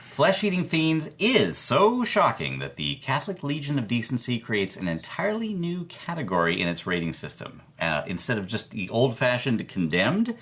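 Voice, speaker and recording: A man's voice: mid-range voice, moderate pace, few pauses. A man playing a chatty film-history expert in an interview, telling his tales with relish and flourish. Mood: amused, relishing. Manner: animated, relishing, quotes with flourish. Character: chatty male expert recounting old movie history with relish. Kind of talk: interview-style storytelling.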